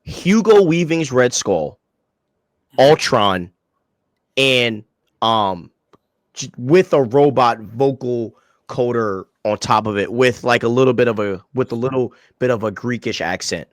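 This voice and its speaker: A man's voice: mid-range voice, lively intonation, measured pace, no echo. A young man with a mid-range voice chats as a podcast co-host, rambling through slang and fillers before landing his point with emphasis. The sound is dry and close. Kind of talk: casual podcast chat.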